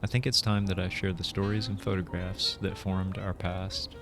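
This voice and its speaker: A man reads as an immigrant parent looking back with pride on a newspaper family. The voice is low, telling the game's story like a memoir, with music behind it. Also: little pitch movement, moderate pace, close to an everyday voice. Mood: wistful, proud.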